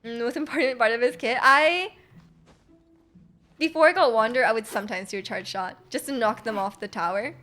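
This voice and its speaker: A woman's voice: a little high, a little fast, fairly bright tone, faint music behind. A woman chatting casually with viewers while she plays a game on a live stream, rambling and repeating herself in a giggly, hesitant way. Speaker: game livestreamer. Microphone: close streaming microphone.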